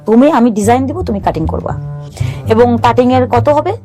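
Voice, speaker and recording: A woman, telling her life story as a talk show guest in an earnest, halting way, often restarting her sentences and mixing in English words. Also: a little high, dark tone, soft background music.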